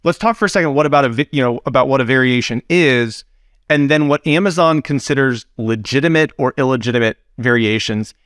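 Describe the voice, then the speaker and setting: A man with a rough, slightly deepened voice from a recent cold speaks as a consultant on a business podcast. He talks frankly and tells stories with animation, at a middle pitch. The sound is dry and close, with no room echo. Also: brisk, a bit bright. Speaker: consultant, co-host. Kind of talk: casual business podcast chat.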